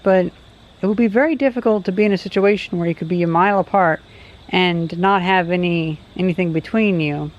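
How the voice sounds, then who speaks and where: A vlogger gives casual, unscripted commentary outdoors while testing gear, musing and thinking aloud. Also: mid-range voice. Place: outdoors along a road. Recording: dull audio, faint background noise.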